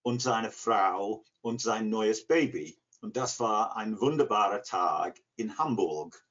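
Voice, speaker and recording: An English man in his sixties with an English accent, answering interview questions haltingly as he searches for words in a second language. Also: fairly low pitch, fairly bright tone. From England, near Liverpool. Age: 60s. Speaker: interview guest, language learner. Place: living room at home. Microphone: computer mic on video call. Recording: dull, lo-fi video call.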